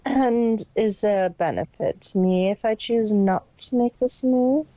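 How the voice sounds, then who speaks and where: A woman's voice: on the high side, measured pace, dark tone, no room sound, lo-fi sound, lightly acted. A woman voicing a callous, cruel female pony business boss in a detached manner for a fan fiction reading. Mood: cold, dismissive. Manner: detached, unemotional.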